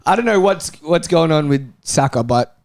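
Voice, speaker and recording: A man's voice: fairly high pitch, expressive, moderate pace, a little mellow. A man debating football casually as a panelist on a talk show, in informal, slangy speech.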